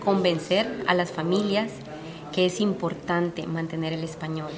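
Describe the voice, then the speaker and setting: A woman from Honduras, a publisher, explaining her work earnestly and steadily in an interview at a book fair. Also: fairly low pitch. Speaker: publisher, guest. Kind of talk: conversational interview. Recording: busy fair crowd behind. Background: crowd chatter.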